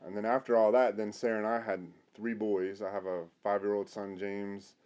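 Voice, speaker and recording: Guy, middle aged pastor host, deep-ish relaxed voice, kinda halting and chatty. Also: clean tone. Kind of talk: conversational interview.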